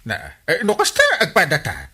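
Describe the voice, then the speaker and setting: A man's voice: on the high side, wildly swooping pitch, fairly quick, bright, forceful tone, slightly rough, heavily acted. A man acting a flustered, blustering village captain with a Filipino accent in a comedy radio drama. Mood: exasperated. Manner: blustering, exclamatory, swooping interjections. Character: male village captain Inteng, excitable, flustered debtor.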